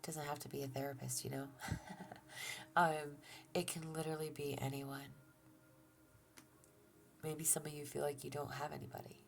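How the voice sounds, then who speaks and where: A woman giving a gentle tarot reading, soft-spoken and reassuring, who often drops to a near-whisper and talks through a stuffy nose. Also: low-pitched, flat delivery, normal speed, gravelly, pauses often. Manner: gentle and reassuring, with fillers and restarts. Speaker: tarot reader. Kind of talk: relaxed tarot reading to viewers.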